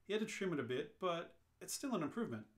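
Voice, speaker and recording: An amateur reader playing a matter-of-fact, worldly alien hitchhiker with a dry, deadpan manner in a radio play. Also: mid-range voice, fast, soft background music, natural voice. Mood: dry, unfazed.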